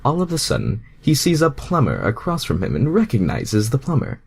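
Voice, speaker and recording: A man's voice: mid-range voice, huge pitch swings, brisk, creaky, darkish tone, breathy edge. A man doing a casual amateur read-aloud of fan fiction, stumbling over the text and breaking into exasperated, foul-mouthed asides.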